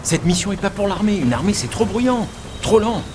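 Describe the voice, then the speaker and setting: An actor playing an alert, serious commando in a war game, speaking in an earnest, matter-of-fact way. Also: male voice, sits high, sing-song, moderate pace, background noise. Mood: firm explanation. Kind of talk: dubbed video game cinematic acting.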